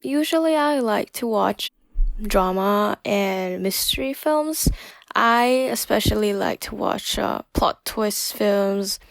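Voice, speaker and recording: Slow, gravelly young woman. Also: mid-range voice, expressive, no room sound. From Thailand. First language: Thai. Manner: giggly, frequent laughs and "like" fillers. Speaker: podcast guest. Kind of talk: casual interview chat.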